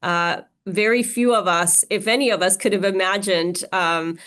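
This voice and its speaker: A woman's voice: medium pitch, fairly even intonation, fairly bright tone. Woman from pakistan raised in mexico, earnest interview chat, lots of ums. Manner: earnest, measured, frequent fillers. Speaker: interviewer, organization leader. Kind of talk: conversational interview.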